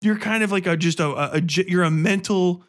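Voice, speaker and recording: A man hosting a podcast in a casual, animated, sweary ranting style full of comic exaggeration. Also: sits high, a little fast. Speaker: podcast host. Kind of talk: casual, profane comic rant and game review.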